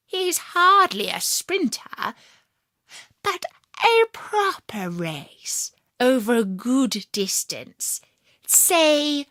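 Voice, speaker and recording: A woman voicing a sly, know-it-all male fox in a children's story, speaking slowly and knowingly. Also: fairly high pitch, bright, forceful tone, dry recording, pitched above the performer's own voice, heavily acted.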